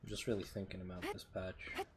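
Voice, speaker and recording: A man's voice: deep voice, little pitch movement, brisk, noisy background. A man streaming a video game with informal commentary, thinking aloud as he plays. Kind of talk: casual gameplay commentary.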